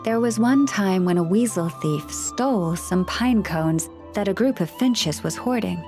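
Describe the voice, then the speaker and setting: A woman's voice: medium pitch, faint music behind, natural voice. Serene Moon Chanter, voiced by a woman. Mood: amused. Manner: calm, measured, earnest. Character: gentle, serene young woman Moon Chanter, caring listener. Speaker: spiritual counselor to people and animals. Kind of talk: video game voice acting.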